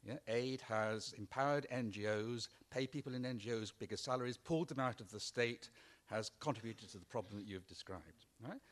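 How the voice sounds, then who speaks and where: A man's voice: mid-range voice, a little fast. A man putting an argumentative question to a panel. He is rhetorical and keeps checking in with "yeah?". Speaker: conference participant.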